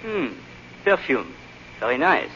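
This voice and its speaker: Menacing French police chief, highish sharp voice, suave, coldly formal, old radio drama. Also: male voice, big pitch swings, takes it slowly, breathy edge, full character voice. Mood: silky menace. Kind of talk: 1940s radio drama acting. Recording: muffled, reverberant old radio recording.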